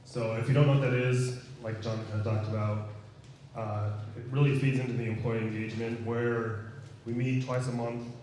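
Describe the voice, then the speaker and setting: A man with a flat, mellow voice speaks plainly to coworkers, with the odd uh. The room is very echoey, with faint noise behind him. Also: mid-range voice, moderate pace, far from the mic. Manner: plain, slightly hesitant with uhs. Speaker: employee council member. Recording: echoey hall.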